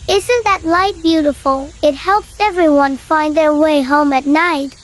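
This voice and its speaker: Very high-pitched eager little lion cub voiced by a woman. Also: a little slow. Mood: proud, determined. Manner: bold, childlike eagerness. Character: eager, excitable young male lion cub. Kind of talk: children's animal storybook.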